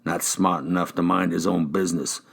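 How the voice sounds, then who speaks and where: A man voicing a gruff, sneering police detective who needles a suspect in an audiobook. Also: sits low, steady tone, normal speed, heavily acted. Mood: mocking. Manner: taunting, needling. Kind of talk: audiobook character dialogue.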